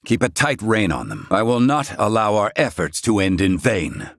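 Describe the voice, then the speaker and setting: A man's voice: lowish voice, sing-song, normal speed, fairly bright tone, dry recording, clean studio sound, full character voice. A man voicing a stern, haughty learned character in a video game, speaking in pompous, clipped, archaic formal diction. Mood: measured, grave.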